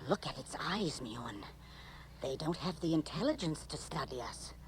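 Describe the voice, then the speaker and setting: A woman's voice: low-pitched, expressive, moderate pace. An actress playing an anxious, questioning woman on an alien expedition, in a dramatic 1980s radio drama style. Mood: wary. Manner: dramatic, theatrical. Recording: noisy radio drama recording.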